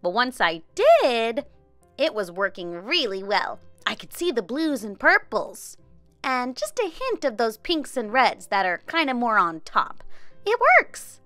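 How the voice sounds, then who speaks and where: A woman in her thirties hosting a children's craft show, narrating an experiment in an expressive, child-friendly way. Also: a little high, a little slow, dry recording. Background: background music.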